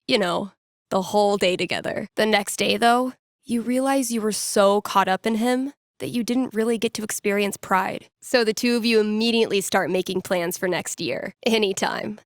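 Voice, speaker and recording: A woman's voice: mid-range voice, normal speed, dry recording, lightly acted. A woman voicing an upbeat young radio DJ in a video game, conversational and teasing, with dry humour as she talks to callers. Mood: playful storytelling. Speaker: radio DJ taking calls and telling fortunes. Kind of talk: playful radio host patter, storytelling to callers.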